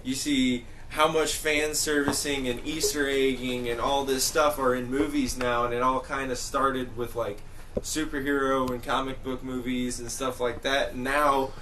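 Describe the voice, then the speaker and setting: A man's voice: mid-range voice, level tone, unhurried, bright, forceful tone, slight background noise, low-quality recording. A man in a casual group discussion, talking in a rambling, emphatic way with plenty of slang. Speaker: podcast panelist.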